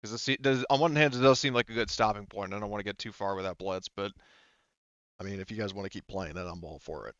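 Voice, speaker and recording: A man's voice: on the low side, fast, a bit bright, dry recording, highs cut off. A man running a tabletop game as game master, improvising the narration casually in a rambling, hesitant way, thinking aloud.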